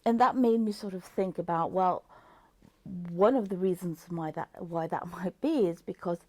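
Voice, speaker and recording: A woman with a Norwegian accent, a native Norwegian speaker, reviewing a book in a casual, thoughtful way and often pausing to search for words. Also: on the low side, warm tone, dry recording. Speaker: book vlogger.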